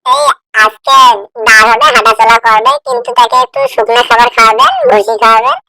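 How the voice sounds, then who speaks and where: Someone putting on a loud, brisk village doctor. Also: medium pitch, big pitch swings, gravelly, a crowd in the background, voice pushed down, rough recording. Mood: reassuring. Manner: loud, brisk and matter-of-fact. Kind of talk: cartoon dialogue.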